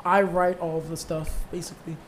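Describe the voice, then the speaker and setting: A man's voice: fairly high pitch, level tone, fairly quick, a little hiss or hum. A man in his twenties, a band leader, telling stories in a rambling, easygoing way with many fillers. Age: 20s. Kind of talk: casual interview chat.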